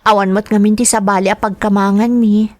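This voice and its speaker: A woman's voice: medium pitch, level tone, fairly quick, darkish tone, no room sound, natural voice. A woman with an Ilocano accent playing a humble, burdened young student in a radio drama, earnest and plaintive. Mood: downcast.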